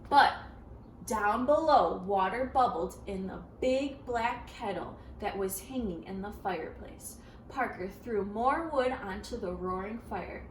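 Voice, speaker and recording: A woman reading a storybook aloud to children in an expressive, interactive way, with dramatic gasps and prompting questions. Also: fairly high pitch. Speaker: children's story reader, teacher. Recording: roomy, distant, faint background noise.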